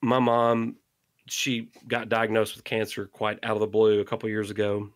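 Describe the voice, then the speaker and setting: A man's voice: fairly low pitch, monotone, moderate pace, no echo, clean studio sound. A middle-aged man speaking as a podcast guest, earnestly urging listeners to keep creating. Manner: impassioned, exhorting listeners. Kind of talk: earnest interview monologue.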